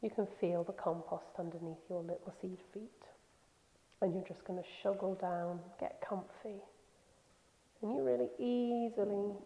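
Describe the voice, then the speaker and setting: A woman leading a guided meditation in a soothing, gentle, unhurried manner with pauses. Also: mid-range voice, creaky. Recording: echoey long reverb, slightly dull sound.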